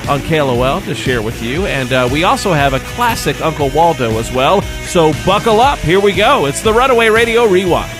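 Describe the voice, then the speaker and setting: Loud music plays under a male announcer with a fairly high voice. He delivers a produced show introduction for a podcast. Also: lively intonation, brisk, hardly any pauses.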